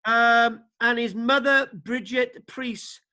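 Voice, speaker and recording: A man's voice: very high voice, fairly even intonation, takes it slowly, pushed and bright. A man lecturing over a video call, stammering and repeating words, with many fillers as he leads a discussion. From Wales.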